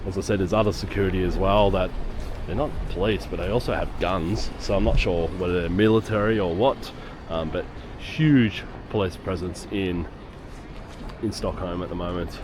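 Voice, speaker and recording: A man with a hoarse voice from a lingering cough, giving chatty walking-tour commentary on busy city streets. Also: deep voice, steady tone, moderate pace. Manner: chatty, rambling, with fillers. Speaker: travel vlogger. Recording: outdoor street noise. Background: city street noise and traffic.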